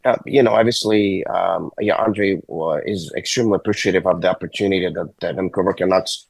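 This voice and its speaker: A man who is a hockey agent, hoarse and sick, calling in from a hospital and talking haltingly with many fillers. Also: low-pitched, no echo, low-quality recording. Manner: halting, many uhs and restarts. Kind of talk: phone-in interview. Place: hospital room. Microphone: phone call.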